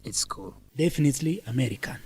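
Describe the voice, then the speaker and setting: A confident, teasing young man, a law student in an educational radio drama. His voice is medium in pitch, and he speaks in a courteous, measured way. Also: animated, darkish tone, husky. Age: young adult.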